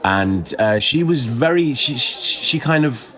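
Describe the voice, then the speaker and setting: A man telling a personal story as a podcast guest, conversational, with a hesitant, halting delivery. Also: medium pitch, lively intonation, pushed and bright. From born in Kuwait, moved around the world. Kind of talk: conversational anecdote.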